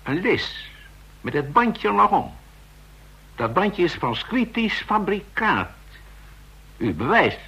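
Brief: insistent expert in a radio play, husky and sharp, animated delivery. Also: male voice, high-pitched, slow pace, long pauses, exaggerated voice. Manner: explanatory, insistent. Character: male counterfeiting expert, lecturing, insistent. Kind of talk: radio drama acting.

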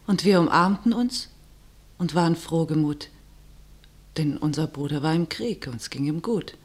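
Radio play casting: fearful, cautious wartime sister, lower-pitched, declaiming in solemn theatrical verse. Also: female voice, lively intonation, a little slow, breathy edge, full character voice. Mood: grave narration. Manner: declamatory rhymed delivery. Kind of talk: theatrical verse radio drama, narrating and in dialogue.

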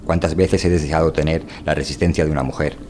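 Man playing a low-voiced, reflective physicist. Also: steady tone, fast, darkish tone, slight background noise. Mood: thoughtful, questioning. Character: calm, inquisitive male physicist from an anarchist world. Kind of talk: radio drama reading.

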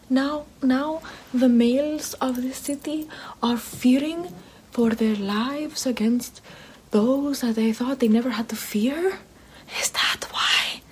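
A woman in an ASMR roleplay voicing a sly, flattering female poison seller in Renaissance Rome, with a soft whispery voice and calm menace, close to the mic. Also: mid-range voice, natural voice, rough recording. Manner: sweet-talking, with darkly calm menace. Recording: steady background hiss.

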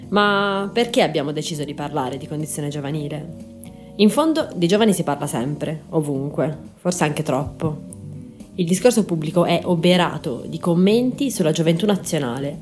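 Woman in her twenties, podcast host, scripted narration, soft-toned, slightly low, faint music behind. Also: expressive, normal speed. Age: 20s.

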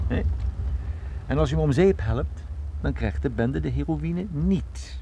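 A man acting a cool, sardonic Irish private detective in a radio drama, needling and unhurried. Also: fairly high pitch, expressive, creaky, warm tone, full character voice, poor audio. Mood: defiant.

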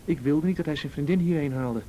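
An actor playing a thoughtful, steady, quietly nervous conspirator in a radio play, speaking in a measured conversational way. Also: male voice, natural voice. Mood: reflective. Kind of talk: Dutch radio play acting. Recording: dull, noisy radio recording with rumble.

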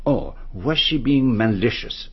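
An actor playing a courteous, shrewd detective, formal and deliberate, in a radio drama. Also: male voice, medium pitch, expressive, husky, muffled recording. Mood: courteous, probing. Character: courteous, shrewd foreign male detective, former policeman.

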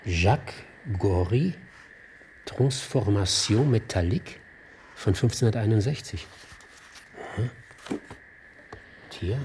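A wry, curious man investigating in a radio play. His voice sits fairly low, with faint noise and ambience behind it. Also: darkish tone. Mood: curious, hushed. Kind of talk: German radio play acting.

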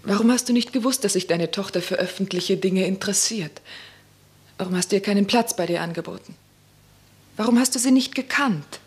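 An actress playing a defiant, mocking rich woman in a radio play, swinging between soft and shrill. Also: brisk, natural voice.

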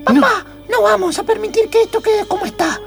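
A woman's voice: fairly quick, vocal fry, soft background music. A woman voicing an eager, earnest little boy explorer in an improvised radio play, with a childlike voice. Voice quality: childlike, squeaky. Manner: eager, pleading, precocious.

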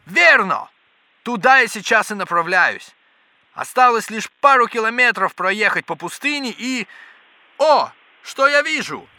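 Excitable bookish scientist, high and bright. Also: male voice, unhurried, no room sound, full character voice. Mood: agitated. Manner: theatrical, pedantic, exclamatory. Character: excitable, bookish male scientist, absorbed in microbes. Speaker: scientist character. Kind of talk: children's educational audio drama.

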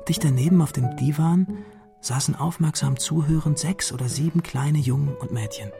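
An actor playing an adventurous young schoolboy hero, narrating his memories in a measured, literary radio drama style. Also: on the high side, gravelly. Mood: reflective. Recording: studio radio drama with music behind. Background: music.

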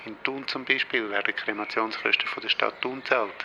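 A man's voice: on the low side, fairly even intonation, a little fast, dull audio. A man with a Swiss German accent, an undertaker explaining matter-of-factly and listing practical details in an interview. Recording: clear background noise.

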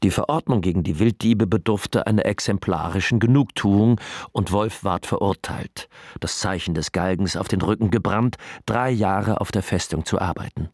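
Husky, deep-voiced male radio-drama narrator. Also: darkish tone, no echo, studio quality. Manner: measured, formal, grave. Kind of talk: scripted literary narration, dramatic.

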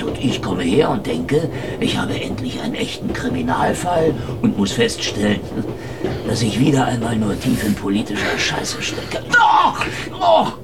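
Radio drama captive agent: wisecracking, defiant, animated delivery, off-mic in a roaring train. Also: male voice, recorded from a distance. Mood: bitter, mocking. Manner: sarcastic, mouthy. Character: cornered, defiant wisecracking male agent under interrogation. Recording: off-mic voice amid loud train rumble. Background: loud train noise.

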